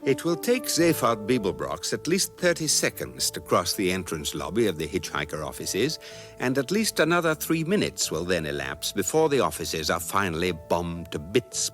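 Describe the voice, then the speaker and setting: Casting: deadpan British guidebook narrator, lowish voice, lively intonation, sci-fi radio comedy with faint music. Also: male voice. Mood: wry, measured. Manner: deadpan, measured, articulate. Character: wry, dry electronic guidebook narrator. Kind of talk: scripted comic radio narration.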